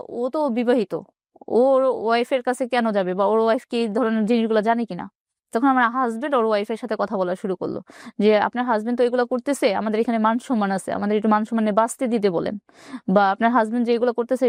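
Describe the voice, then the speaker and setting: A woman's voice: a little high, darkish tone, no echo, studio quality. Hesitant young woman telling her story. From Bangladesh. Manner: hesitant, halting, with many fillers and restarts. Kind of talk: personal confession.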